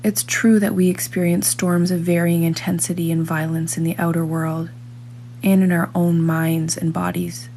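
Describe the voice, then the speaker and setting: A woman reads a guided meditation in a soft-edged, mellow tone that sits a little low. She is calm and measured, leaving long pauses between phrases. A faint noise and hum runs behind her voice. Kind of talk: guided meditation, scripted narration.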